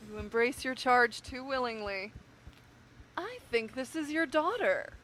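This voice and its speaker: A woman's voice: high voice, lively intonation, measured pace, fairly bright tone, slight background noise, rough recording. A woman playing a genial, commanding prince with a lordly, courteous manner in a Shakespearean radio comedy. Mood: gracious.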